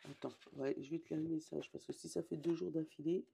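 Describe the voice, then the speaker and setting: A hoarse woman, casually chatting and reading oracle card meanings aloud, often correcting herself. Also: sits low, steady tone, fairly quick, mellow, slight background noise. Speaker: tarot and oracle card reader.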